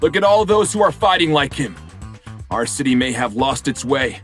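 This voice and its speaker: An actor playing a smooth-talking politician who gives interviews and campaign speeches in a game. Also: male voice, medium pitch, sing-song, moderate pace, a bit bright, soft background music. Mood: rousing. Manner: rousing, oratorical. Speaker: election candidate. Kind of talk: game cutscene voice acting.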